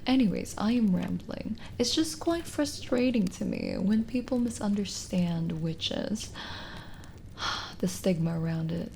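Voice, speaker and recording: A woman playing a lonely, kindly witch in an intimate ASMR roleplay, speaking softly and breathily with a gentle teasing manner, often near a whisper. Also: mid-range voice, huge pitch swings, vocal fry, slight background noise, heavily acted. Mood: earnest, wistful. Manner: slow, hesitant, gently teasing.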